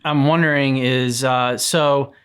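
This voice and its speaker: A man's voice: medium pitch, fairly even intonation, slow pace, a bit bright, smooth. A man with a mid-range voice chats casually as co-host of a reaction show. He rambles and feels his way through each thought, often hesitating as he searches for the words. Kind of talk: casual chat.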